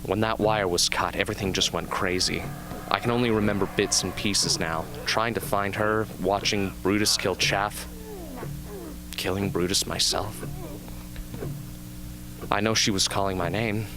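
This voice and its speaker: A man playing a young, haunted but earnest arena survivor with intense, deliberate delivery in an amateur radio drama. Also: fairly low pitch, steady tone, poor audio. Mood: haunted, reflective. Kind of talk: amateur radio drama acting, interview scene.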